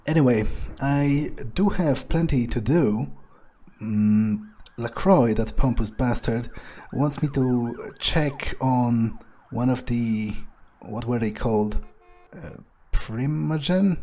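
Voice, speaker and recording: A man playing an arrogant, cynical, scheming vampire in an improvised game roleplay monologue, musing haltingly with cold disdain and profanity. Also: mid-range voice, mellow, close to an everyday voice. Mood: musing, self-assured. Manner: halting, musing, with pauses, hums and dry chuckles. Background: music behind the voice.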